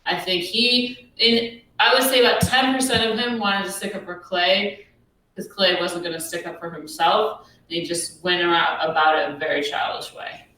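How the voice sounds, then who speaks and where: Deep-voiced woman in an echoey room. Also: fairly even intonation, normal speed, sharp tone, far from the mic. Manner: musing, hesitant, with many ums and likes. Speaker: podcast co-host. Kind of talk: casual recap chat.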